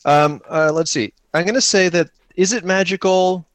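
A man improvising his way through a tabletop game in casual chat, thinking out loud as he plays. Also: sits high, moderate pace, no room sound. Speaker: podcast player improvising a solo tabletop game.

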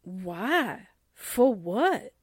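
An actress playing a sassy, suspicious, wisecracking secretary with a blunt, slangy manner in a 1940s-style radio drama. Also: female voice, mid-range voice, sing-song, extremely slow, husky, dry recording, clean studio sound, exaggerated voice. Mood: wary.

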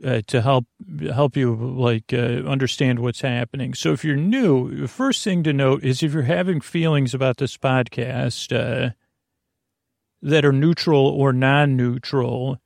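A man hosting a sleep podcast in a creaky voice, meandering and halting through mumbled word fragments and tangents to lull listeners to sleep. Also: medium pitch, darkish tone, no room sound, clean studio sound. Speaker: podcast host. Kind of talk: rambling bedtime monologue meant to lull listeners to sleep.

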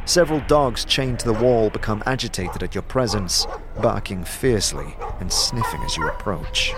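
A man's voice: on the low side, expressive, normal speed, noisy background. A man narrating a scripted fantasy audio drama in an intense, theatrical way. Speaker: narrator and performer. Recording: clear music bed behind voice.

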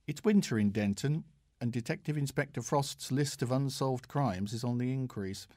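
A British man reading a scripted audiobook review in a measured, formal manner. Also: mid-range voice, a little mellow, dry recording, studio quality. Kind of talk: scripted review read aloud.

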